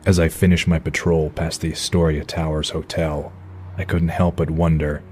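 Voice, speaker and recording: An actor playing a brooding, stern vigilante detective, narrating noir style in a raspy, terse, measured voice. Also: male voice, rumbling low, flat delivery, noisy background. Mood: grim, brooding. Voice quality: husky, raspy.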